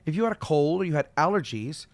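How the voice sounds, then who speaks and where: A man's voice: on the high side, animated, dry recording. Upbeat male vocal coach, dry close sound. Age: middle-aged. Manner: friendly, explains slowly with demonstrations. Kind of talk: solo video voice lesson.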